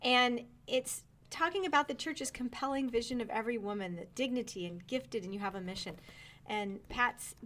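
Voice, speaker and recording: Higher-pitched, chatty, enthusiastic woman co-host. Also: moderate pace, a bit bright. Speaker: co-host of a book recommendation show. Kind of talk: casual conversational book chat.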